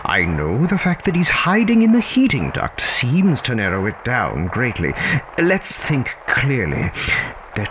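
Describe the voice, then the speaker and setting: An actor playing a whimsical, confiding storyteller, narrating a scripted audio drama with halting, theatrical pauses. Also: male voice, medium pitch, wildly swooping pitch, background noise.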